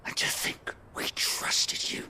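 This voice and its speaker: An actor playing a bitter, distrustful village man, speaking slowly with scornful accusation in a breathy, creaky voice. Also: pushed and bright, in a whisper. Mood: bitter, accusing. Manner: slow, scornful, accusing delivery.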